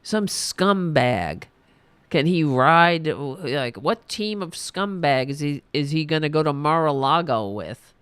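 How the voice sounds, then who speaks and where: A woman's voice: low-pitched, expressive, slow pace, clear, resonant tone, no room sound. A woman, hosting a political podcast, ranting in a heated, profane, sarcastic way while losing her voice. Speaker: podcast host. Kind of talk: angry political rant.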